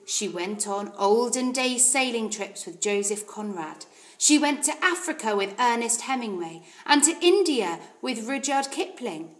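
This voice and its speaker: Expressive woman reading a story, lively intonation. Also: a little high, measured pace, reverberant. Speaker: teacher reading aloud. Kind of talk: expressive children's story narration.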